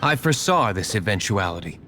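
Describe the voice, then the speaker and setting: A man's voice: fairly low pitch, big pitch swings, brisk, sharp tone. A man playing an aloof, stern young nobleman in a video game, with a curt, dry, formal manner. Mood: composed. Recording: background music under the voice.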